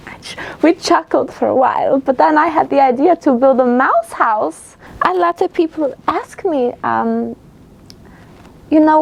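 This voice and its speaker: A woman voicing a quirky young Icelandic design student, telling absurd stories in a deadpan, earnest way with an Icelandic accent. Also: very high-pitched, vocal fry, heavily acted. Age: young adult. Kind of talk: comedic character monologue.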